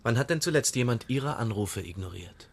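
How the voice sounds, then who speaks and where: An actor playing a bitter, unstable young killer in a radio play, tense and wounded, faltering when shaken. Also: male voice, medium pitch, animated, a little fast, gravelly, breathy edge. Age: young adult.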